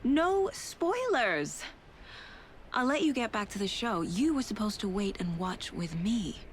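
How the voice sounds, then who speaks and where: A woman playing a composed investigator and mother in a video game, speaking in a gentle, conversational way. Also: medium pitch, big pitch swings, unhurried. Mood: affectionate. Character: composed adult female federal investigator and affectionate mother. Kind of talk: naturalistic video game acting. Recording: noisy ambience behind the voice.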